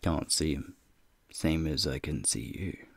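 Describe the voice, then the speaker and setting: A man voicing a flirty, teasing male werewolf in an ASMR roleplay, with a deep, gravelly, husky voice and folksy slang. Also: unhurried, no echo, exaggerated voice. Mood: ominous, hushed. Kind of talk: ASMR roleplay, speaking to the listener.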